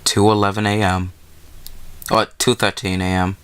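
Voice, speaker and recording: A man's voice: fairly low pitch, flat delivery, moderate pace, fairly bright tone, smooth. A man hosting a gaming video, reading a visual novel aloud and adding casual, informal asides.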